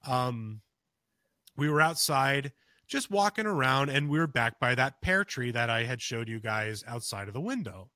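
A man with a bright, forceful voice recounts a story on a podcast in a loose, rambling way, full of restarts and "you know." The sound is close and dry. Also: mid-range voice, expressive, moderate pace, no room sound. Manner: gets louder and more urgent as the story builds. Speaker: podcast co-host. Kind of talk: casual storytelling of a personal encounter.

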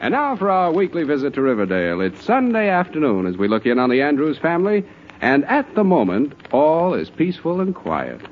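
An actor serving as a grand, genial announcer, introducing a comedy show in declamatory 1950s radio style. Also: male voice, a little high, wildly swooping pitch, brisk. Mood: showmanlike. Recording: muffled old radio broadcast with loud music.

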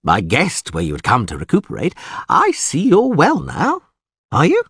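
Man doing a high-swooping, flamboyant male troubadour. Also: huge pitch swings, moderate pace, fairly bright tone, husky, no echo, clean studio sound, heavily acted. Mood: cheerful, chatty. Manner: theatrical, exaggerated, playful. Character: flamboyant, cheeky male troubadour, boastful and jovial.

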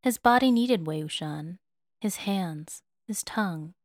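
Expressive woman reading a story, dry close sound. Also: lowish voice, unhurried, no echo. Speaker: fan fiction narrator. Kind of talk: dramatic audiobook narration.

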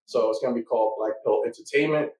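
A man hosting a casual podcast, talking in a relaxed way with many fillers. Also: medium pitch, quick pace. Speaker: podcast host. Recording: echoey room, mic at a distance.

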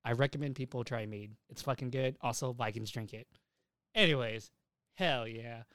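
A man's voice: medium pitch, moderate pace, fairly bright tone, no room sound, studio quality. A young man co-hosting a casual anime news podcast, rambling along and swearing freely. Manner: profane, rambling. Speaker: podcast co-host.

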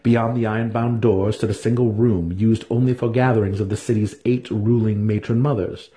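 A man's voice: fairly low pitch, fairly even intonation, normal speed, warm tone. A man giving a dramatic reading of a fantasy story as its narrator. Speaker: audiobook narrator.

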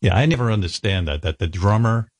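A man's voice: on the low side, fast, dry recording. An older man hosting an interview, asking rambling, self-deprecating questions. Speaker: talk show host.

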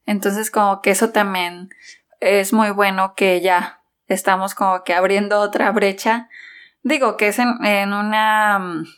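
A woman speaks as a co-host in a relaxed conversational review. Her pitch is average for a woman. She phrases things loosely and informally, thinking aloud as she talks. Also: a little slow. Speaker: movie review podcast co-host. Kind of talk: casual conversational film review.

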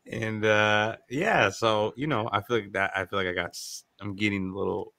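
A man from the Bay Area talks in a fairly low voice. He sounds stuffed up from a coming cold and keeps his chat loose and slangy. The recording is dry, with no room sound around him. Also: a little slow, a bit bright, no room sound. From San Francisco Bay Area. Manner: loose and slangy, laughs easily. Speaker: podcast co-host. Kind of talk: casual sports podcast chat.

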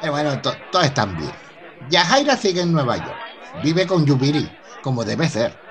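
A Venezuelan actor playing a polite, earnest professor in a radio soap opera, explaining things with hesitant stammers. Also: male voice, fairly high pitch, big pitch swings, normal speed, some chatter behind. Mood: informative, hesitant. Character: polite, earnest university professor and new son-in-law.

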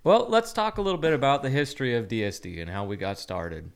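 A man's voice: medium pitch, fast talker, clean tone. A man co-hosting a casual podcast, joking dryly with his partner. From Oregon. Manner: dry joking. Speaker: podcast co-host.

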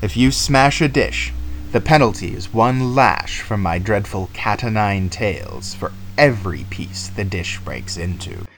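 A man's voice: lowish voice, lively intonation, unhurried, a bit bright, slight background noise, pitched below the performer's own voice, rough recording. A man voicing a stern, menacing giant who gives commands in a storybook reading. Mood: threatening.

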